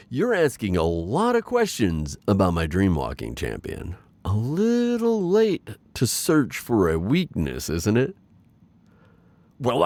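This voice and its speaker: An actor playing a haughty, brooding dark warlord who is secretly smitten, theatrical and halting in an ASMR romance roleplay. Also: male voice, low-pitched, no echo, heavily acted. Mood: defensive, evasive. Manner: halting, theatrical, swinging between commanding and flustered.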